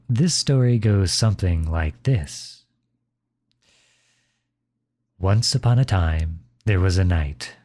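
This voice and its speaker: A man acts out a middle-aged dad in an ASMR audio roleplay. His voice sits low and he takes his time with each phrase. The manner is tender and reassuring, that of a caring father comforting his child up close. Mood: warm storytelling. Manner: tender, reassuring, softly chuckling. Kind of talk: ASMR roleplay, intimate acted monologue.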